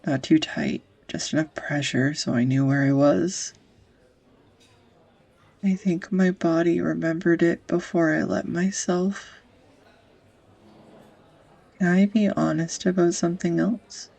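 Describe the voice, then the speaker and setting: A young woman acting a tender, easily flustered sweetheart in an intimate romantic audio roleplay, with a husky, breathy, hesitant voice. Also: fairly low pitch, fairly even intonation, takes it slowly, long pauses, a little mellow, exaggerated voice, rough recording. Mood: tender, earnest. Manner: hesitant, confessional, breaking into whispers.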